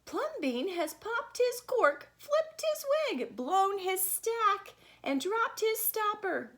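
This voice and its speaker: A woman voicing a crowd of prim, scandalized neighbors, shouting in high, indignant exclamations. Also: sing-song, slow pace, heavily acted. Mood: outraged.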